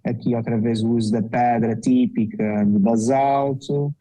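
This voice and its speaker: A man with a thick Azorean Portuguese accent talks as a guest in a casual interview. His voice is dark and mellow. He hesitates often, filling pauses and restarting his sentences. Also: mid-range voice, steady tone. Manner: hesitant, with many fillers and restarts. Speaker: guest architect.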